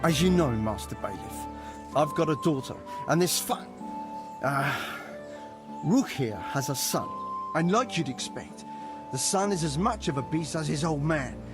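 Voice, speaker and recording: A man's voice: fairly high pitch, lively intonation, unhurried, over music. An actor playing an aggrieved, blunt medieval villager, an indignant father, in a video game. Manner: indignant, grumbling, colourful insults. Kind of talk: video game cutscene acting.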